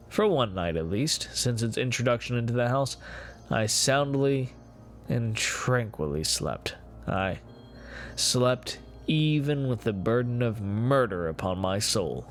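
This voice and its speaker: A man playing an unhinged, gloating murderer confessing his crime in a theatrical, deliberate gothic horror reading. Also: mid-range voice. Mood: gloating relief. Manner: theatrical, ornate, deliberate phrasing with swelling emphasis.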